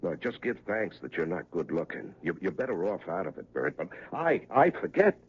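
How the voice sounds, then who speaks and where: A man's voice: low voice, sing-song, moderate pace, slightly rough, full character voice. An actor playing a distraught, fatalistic male cop in a 1970s radio drama, speaking in agitated, emphatic repetitions. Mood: anguished. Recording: dull, faintly noisy old radio recording.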